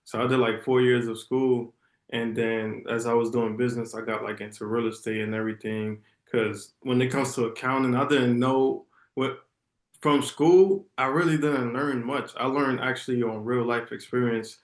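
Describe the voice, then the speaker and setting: A man's voice: mid-range voice, fairly even intonation, moderate pace. A man on a video call giving rambling advice, often restarting his sentences. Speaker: networking call participant. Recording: roomy video call audio.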